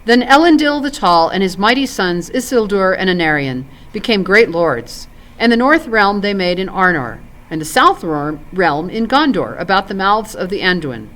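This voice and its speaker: A woman reading a storybook narration aloud as a volunteer, in an expressive, measured literary manner. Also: medium pitch, poor audio.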